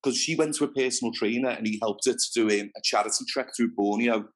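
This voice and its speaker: A man's voice: medium pitch, fast talker. Man, interview guest, talkative and rambling, mid-range voice, natural pace. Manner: chatty, rambling, restarts often. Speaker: interview guest, fitness trainer. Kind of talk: casual interview chat.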